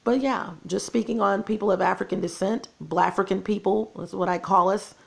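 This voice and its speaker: A woman's voice: medium pitch, fairly even intonation, fairly quick, gravelly. A woman, losing her voice, talking to her viewers in an earnest, repetitive casual vlog monologue. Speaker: channel host.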